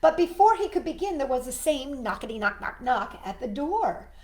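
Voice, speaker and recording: A woman's voice: sits high, sing-song, normal speed, a little mellow, roomy sound. A woman hosting storytime, reading a picture book expressively to young children and adding questioning asides. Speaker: storytime host.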